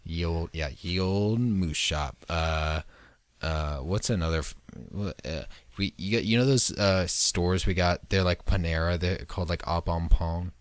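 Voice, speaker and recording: A man's voice: deep voice, measured pace, smooth, no room sound. A young man co-hosting a podcast, joking and rambling about sports, getting worked up as he argues. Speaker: podcast co-host. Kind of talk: casual sports chat.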